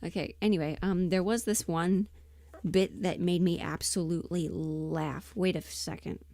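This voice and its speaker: A woman chatting casually and emphatically on a livestream, rambling in an animated, opinionated way. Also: lowish voice, normal speed, creaky, dry recording, clean studio sound. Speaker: livestream host and voice performer.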